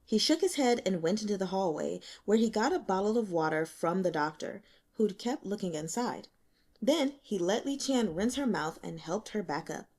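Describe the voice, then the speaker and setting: A woman's voice: mid-range voice, lively intonation, normal speed, darkish tone. A woman reading scripted narration as an audiobook narrator.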